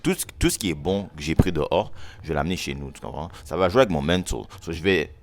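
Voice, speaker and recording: Deep-voiced Québécois man explaining. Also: lively intonation, fast. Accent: Quebec French. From Quebec, Canada. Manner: explanatory, checks in with "tu comprends?". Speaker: guest. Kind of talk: casual chat.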